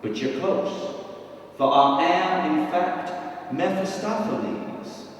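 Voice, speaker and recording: Male actor as sly Texan devil's envoy, stage monologue, grandiose revival-preacher delivery, fairly high voice, big echoing hall. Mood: menacing, emphatic. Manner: grandiose, emphatic, drawn-out repetitions for effect. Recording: echoey hall from far off, faint noise.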